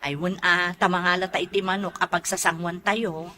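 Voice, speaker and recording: Woman acting a bright-voiced, proud Ilocano elder sister. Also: fairly low pitch, flat delivery, normal speed, slight background noise. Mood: eager. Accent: Ilocano, northern Philippines. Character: proud, reproachful elder sister who owns a farm. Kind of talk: Ilocano comedy drama acting.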